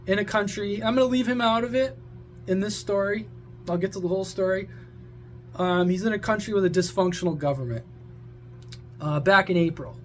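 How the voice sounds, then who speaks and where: A man's voice: high-pitched, a little hiss or hum. A man talking to viewers in a casual, opinionated rant, blunt, sweary and rambling, like a hobby radio vlogger.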